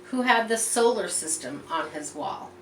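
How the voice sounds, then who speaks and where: A woman reading a picture book aloud to children in an expressive, teacherly way, pausing to explain and point things out. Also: mid-range voice. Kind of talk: read-aloud storytelling with explanatory asides. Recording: roomy echo, distant mic, faint background noise.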